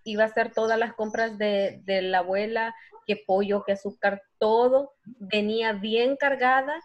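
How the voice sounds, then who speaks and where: A woman's voice: on the high side, fairly even intonation, moderate pace, dull audio. A woman casually telling personal anecdotes in a class discussion, in a chatty storytelling way.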